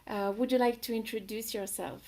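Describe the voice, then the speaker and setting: A woman's voice: fairly high pitch, steady tone, brisk, warm tone. A woman interviewing a guest in a conversational style.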